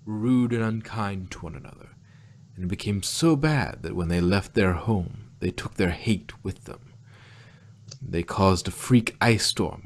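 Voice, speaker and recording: Storybook pony princess male reader: lowish pitch, regal, patient, weary, measured and formal. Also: slight background noise. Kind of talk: fan fiction audio reading.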